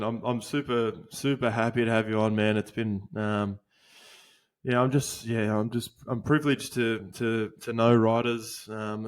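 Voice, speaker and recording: A young Australian man hosting a podcast, talking casually with lots of swearing, fillers and restarts. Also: lowish voice. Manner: laid-back, swears a lot, halting with fillers. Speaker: podcast host.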